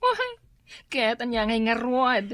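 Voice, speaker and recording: An actress playing a gossipy, flattering female office worker in an Ilocano radio comedy, with loud exaggerated exclamations. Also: a little high, slow, no room sound, heavily acted. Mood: teasing. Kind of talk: radio comedy drama acting.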